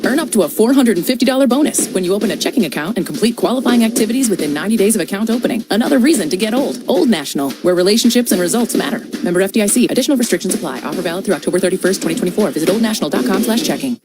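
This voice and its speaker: A woman's voice: medium pitch, animated, racing, hardly any pauses. A woman reading a scripted bank advertisement and its disclaimer. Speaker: bank ad announcer. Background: music.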